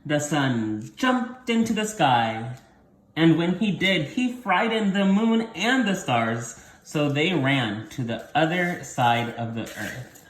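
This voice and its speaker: A man's voice: on the high side, very animated, takes it slowly, reverberant. A man reading a story aloud to young children as their teacher, explaining things simply and repeating key points.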